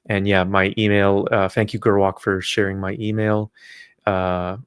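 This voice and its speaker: A man's voice: on the low side, little pitch movement, a little slow, smooth, dry recording, clean studio sound. A man from Palestine hosting a live call-in stream, chatting casually with callers, friendly and hesitant with many fillers.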